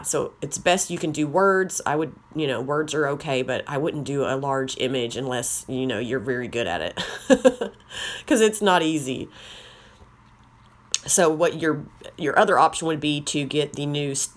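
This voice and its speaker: A woman with a slightly raspy voice, chatting casually as she walks through a craft tutorial. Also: very deep voice. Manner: chatty, rambling, self-deprecating. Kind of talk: casual how-to narration.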